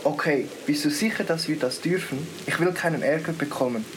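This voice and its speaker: A woman's voice: sits low, a little fast, slight background noise, close to an everyday voice, rough recording. A teenage student playing a timid, worried boy in a student radio play. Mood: nervous. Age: teens. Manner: hesitant, worried.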